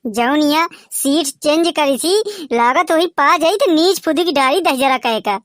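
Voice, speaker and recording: A man with a Bagheli accent voicing a nagging, sharp-tongued village wife who curses and scolds. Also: extremely high pitch, lively intonation, a little slow, slight background noise, pitched above the performer's own voice, exaggerated voice. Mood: complaining. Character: shrill scolding village wife running for office.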